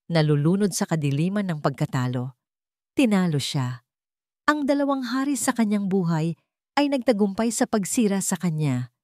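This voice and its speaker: Female Filipino narrator, lower register, deliberate dramatic storytelling, dry sound. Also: darkish tone, dry recording.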